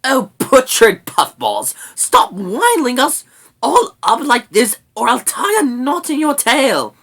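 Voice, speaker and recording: A woman voicing a sharp-tongued young furry brownie girl in a storybook, grumpy, brash and sarcastic. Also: low-pitched, very animated, slow pace, voice pushed up, exaggerated voice. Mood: irritated.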